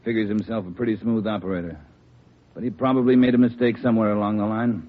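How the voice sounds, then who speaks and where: Low, firm American police chief played by a man. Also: steady tone, fast, natural voice. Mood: firm, pressing. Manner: firm, clipped, businesslike. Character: brisk, exacting male police chief of detectives. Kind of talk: 1950s radio police drama acting. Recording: muffled vintage radio recording, faint noise.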